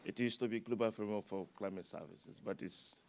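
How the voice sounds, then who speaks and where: A low-pitched man speaking on a conference panel, his delivery loud and halting, with frequent fillers and restarts. The sound is dry, with no room echo. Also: creaky. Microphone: microphone.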